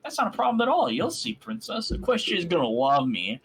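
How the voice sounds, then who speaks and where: A man reading the lines of an excitable, lovestruck young man, with excited, emphatic delivery. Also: sits high, fairly quick, gravelly, slight background noise, voice pushed up, heavily acted, rough recording.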